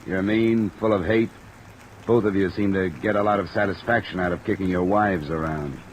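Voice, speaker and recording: An actor playing a tough, cool-headed insurance investigator, clipped and matter-of-fact, in an old-time radio drama. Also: male voice, low-pitched, moderate pace, noisy background, lightly acted. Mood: accusing, firm. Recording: old radio recording under light rain.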